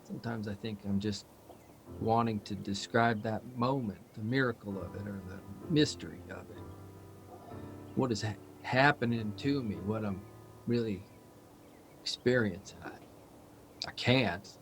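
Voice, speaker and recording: An actor playing a restless, self-doubting male writer in a live audio drama, rambling and halting as he questions himself. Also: lowish voice, music in the background. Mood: confessional, flustered.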